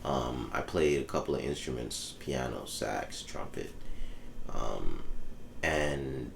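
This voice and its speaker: Very deep, gravelly young man. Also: takes it slowly. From The Bahamas. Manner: laid-back, easygoing, frequent ums. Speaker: musician guest. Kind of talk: casual interview chat. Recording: echoey room with faint background noise.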